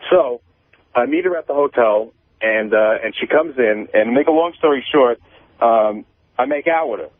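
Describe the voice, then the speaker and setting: A man's voice: mid-range voice, moderate pace, no echo. Man on a tinny phone line, telling stories. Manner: rambling, profane, repeats himself. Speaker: radio show caller. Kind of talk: casual personal storytelling.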